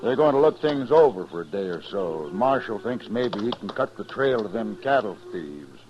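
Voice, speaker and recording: An actor playing a stern, proud older rancher boss in a 1950s radio western, blunt and clipped, with a rustic American West accent. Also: male voice, on the low side, sing-song, normal speed, crowd noise. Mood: brusque, commanding. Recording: muffled, hissy old radio recording.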